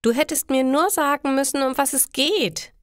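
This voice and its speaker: A woman voicing an eager, easily indignant young male pizza courier in a storybook for language learners, with emphatic, animated delivery. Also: sits high, normal speed, no echo, studio quality, voice pushed up, natural voice. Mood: indignant, keen. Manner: animated, emphatic questions and retorts.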